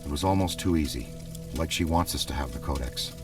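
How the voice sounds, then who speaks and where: An actor playing an eager, earnest ghost hunter scientist in a video game, full of awe at the paranormal. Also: male voice, very deep voice, a little fast, recorded from a distance, over music. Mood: puzzled awe.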